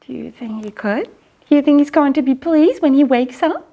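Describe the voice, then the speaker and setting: A woman's voice: sits high, normal speed, phone-quality sound. A woman reading a children's storybook aloud dramatically, speaking directly to young listeners. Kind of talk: expressive storybook read-aloud.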